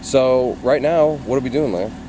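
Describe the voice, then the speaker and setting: A man's voice: mid-range voice, moderate pace. A man co-hosting a vlog, chatting casually to viewers while out and about. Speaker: vlog co-host. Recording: clear outdoor and vehicle noise.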